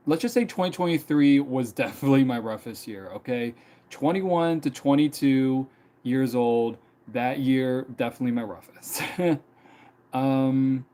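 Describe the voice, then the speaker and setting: A man's voice: medium pitch, warm tone. A young man in his mid-twenties, chatting casually on a live stream, rambling with many fillers and repeated phrases. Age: 20s. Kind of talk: casual personal storytelling.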